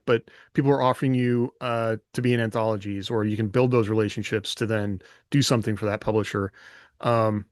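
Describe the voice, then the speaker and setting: A man's voice: mid-range voice, no echo. Man in dry-sounding, casual podcast talk. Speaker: podcast co-host and writer.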